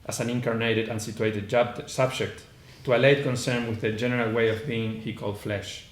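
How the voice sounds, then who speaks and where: A man's voice: mid-range voice, steady tone, moderate pace. A man with a heavy Argentine accent presenting an academic paper at a conference, reading from notes with frequent "uh" fillers and false starts. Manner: hesitant, many "uh" fillers and restarts. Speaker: conference panelist. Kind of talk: academic lecture read from notes. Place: conference room. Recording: echoey room, faint background noise.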